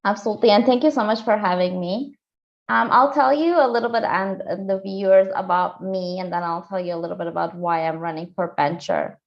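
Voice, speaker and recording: A woman in her forties, a lawyer from Iran, telling her story in an earnest, steady interview answer. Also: mid-range voice, fairly quick, vocal fry. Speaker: lawyer and candidate. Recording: dull lo-fi audio.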